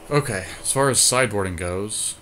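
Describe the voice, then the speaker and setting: Male podcast co-host, deep register, casual game commentary, thinking out loud, slightly noisy recording. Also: fairly quick.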